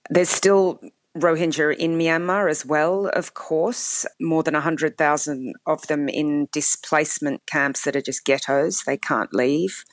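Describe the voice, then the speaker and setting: A woman law professor giving measured, explanatory expert commentary in an interview. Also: sits low, steady tone, no room sound. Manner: measured, explanatory, with occasional fillers.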